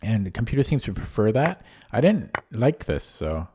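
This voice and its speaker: A man's voice: lowish voice, sing-song, moderate pace, noisy background. A man losing his voice, reviewing his chess game aloud in a casual, hesitant way with frequent ums and restarts. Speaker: chess streamer.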